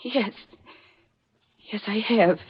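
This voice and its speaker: An actress playing a troubled, dazed young granddaughter with a desperate edge, in 1940s-style radio melodrama. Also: female voice, mid-range voice, drawn-out words, slightly rough, exaggerated voice. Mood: dazed, subdued. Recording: muffled old radio recording.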